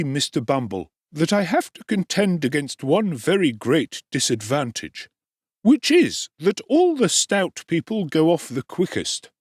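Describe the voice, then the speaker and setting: A man voicing a gaunt, jocular middle-aged undertaker with a high, wheedling tradesman's whine. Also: no room sound, clean studio sound.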